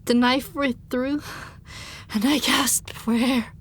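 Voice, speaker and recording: A woman narrating an audiobook in the first person, dramatic, grave, deliberate and intense. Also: sits high, fairly even intonation, husky.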